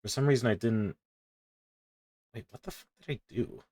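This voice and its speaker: A young man with a very deep, low voice talks through his drawing in loose, rambling chatter, cursing offhandedly and poking fun at himself. The sound is dry and close, with no room around it. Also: animated, normal speed, a little mellow, no room sound. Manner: rambling, self-deprecating, swears casually. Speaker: streamer. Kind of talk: casual commentary while drawing.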